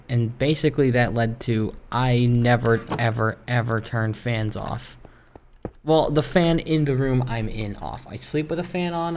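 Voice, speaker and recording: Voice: medium pitch, darkish tone. A gaming streamer chatting casually and hesitantly in a wry, rambling way over gameplay, recorded in a room at home. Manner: rambling, hesitant, self-interrupting. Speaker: gaming video creator and streamer. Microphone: streaming microphone. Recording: home microphone with clear background noise.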